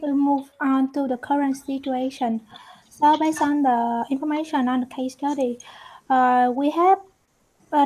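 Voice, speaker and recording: A woman's voice: sits high, a little mellow, low-quality recording. A woman from Vietnam giving a class presentation hesitantly, with many fillers. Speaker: student presenter.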